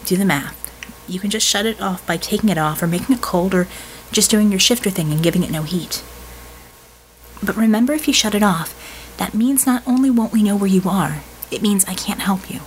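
An earnest young hacker-mage from an audiobook, acted by a woman reader. She explains herself assertively and has a dry wit. Her pitch is middling, over a faintly noisy background. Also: fairly quick, close to an everyday voice. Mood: brisk, explanatory. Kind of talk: audiobook character dialogue.

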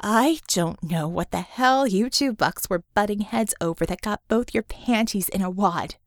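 A woman's voice: medium pitch, moderate pace, no room sound, clean studio sound, lightly acted. A woman voicing a furious, foul-mouthed young woman in an audiobook, delivering an emphatic scolding tirade. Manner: emphatic, cursing tirade peppered with foreign swear words.

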